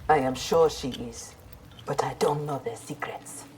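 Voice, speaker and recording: A proud ninja warrior in a fighting game. Her low voice is formal, earnest and resolute, with a faint layer of noise behind it. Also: unhurried, a little mellow. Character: proud, honorable female ninja warrior in armor. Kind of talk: video game character dialogue.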